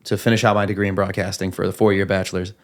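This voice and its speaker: Young guy from upstate ny, rapper guest on a podcast, low-ish voice, rambly casual talk. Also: flat delivery, rapid-fire. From upstate New York. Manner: loose and rambling, many restarts.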